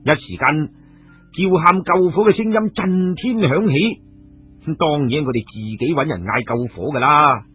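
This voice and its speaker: A man's voice: on the high side, measured pace, husky. A man with a Hong Kong Cantonese accent narrating an audio novel as a dramatic storyteller. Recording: tinny radio-like sound with music behind. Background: music.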